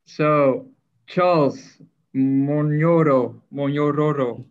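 A man's voice: on the high side, smooth. Friendly man moderating over muffled call audio. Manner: friendly, brisk, stumbles over names. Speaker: session moderator. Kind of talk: moderating an online panel. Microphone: video call.

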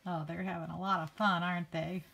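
A woman's voice: on the low side, level tone, a little fast, gravelly, fairly bright tone, slightly rough. A woman reading a picture book aloud to children like a teacher, expressively stretching key words and adding casual asides. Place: indoors, book held before a handheld camera.

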